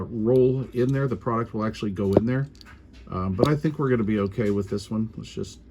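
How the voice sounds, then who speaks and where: A middle-aged or older American man giving an informal hands-on how-to explanation in an unhurried, halting, folksy manner. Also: medium pitch, a little mellow. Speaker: repair tutorial host. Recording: clear background noise.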